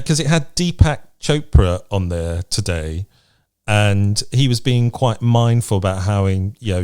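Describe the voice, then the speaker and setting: A man's voice: lowish voice, clear, resonant tone. A man co-hosting a podcast in casual chat, with an encouraging, upbeat manner. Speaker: podcast co-host.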